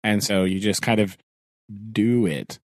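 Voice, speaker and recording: A man's voice: fairly low pitch, a little slow, no echo, clean studio sound. A man, a teacher co-hosting a podcast, chatting casually, laughing often and acting out his own thoughts. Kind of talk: casual chat. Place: home.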